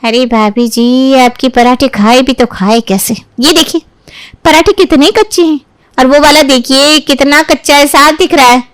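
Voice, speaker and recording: A woman acts out a young sister-in-law from a moral tale, pitched high in a dry recording. She is bossy and picky, and talks down to others as she finds fault. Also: moderate pace, no room sound, close to an everyday voice. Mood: mocking, picky. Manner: condescending, interrupting, complaining. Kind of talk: acted dialogue in a Hindi family storybook tale.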